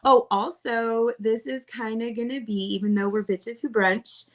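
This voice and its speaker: A woman's voice: fairly high pitch, steady tone, moderate pace. A woman co-hosting a casual podcast chat, bubbly and teasing, laughing often. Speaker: podcast co-host.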